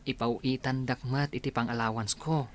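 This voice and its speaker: A man's voice: mid-range voice, fairly even intonation, moderate pace, natural voice. A man with an Ilocano accent playing a humble, grateful young doctor-to-be in a radio drama.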